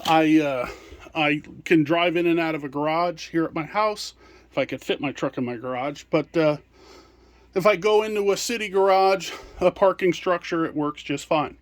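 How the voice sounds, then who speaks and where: A man presenting products in an informal step-by-step tutorial, explaining in a practical, somewhat halting way. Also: on the high side, dry recording. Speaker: product presenter and small business owner.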